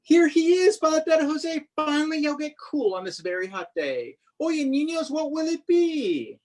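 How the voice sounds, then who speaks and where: An author, perhaps in their thirties or forties, reads their own picture book aloud to children in an animated, expressive way, with asides. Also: high-pitched, normal speed. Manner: expressive, sing-song on refrains, commenting between lines.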